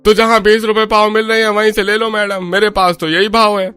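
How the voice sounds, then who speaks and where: Dismissive market vendor played by a male actor, curt and stubborn, animated story, music underneath. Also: sits high, fairly quick, clear, resonant tone, dry recording.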